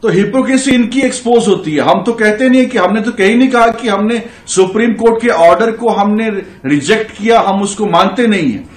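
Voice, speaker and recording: A man's voice: high-pitched, brisk, hardly any pauses, reverberant. A man with an Indian accent arguing his side insistently in a heated TV panel debate. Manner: argumentative, insistent. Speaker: political party spokesman.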